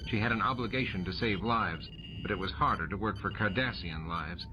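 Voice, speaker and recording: A man's voice: on the low side, fairly quick, background noise. A man reading scripted audiobook narration of a story. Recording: muffled audio under loud music.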